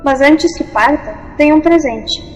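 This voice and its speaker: A woman playing a gentle, wise young enchanted lake maiden in an audio fantasy storybook, speaking tenderly and with measured phrasing. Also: high voice. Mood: gentle, advising. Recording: dull, long reverb, faint music.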